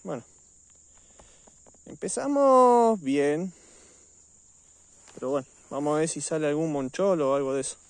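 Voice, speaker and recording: Argentine man with a higher-pitched voice. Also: steady tone, racing, a little mellow, no room sound. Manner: informal, addressing viewers. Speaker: fishing vlogger. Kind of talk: casual outdoor vlog narration. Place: outdoors on a riverbank.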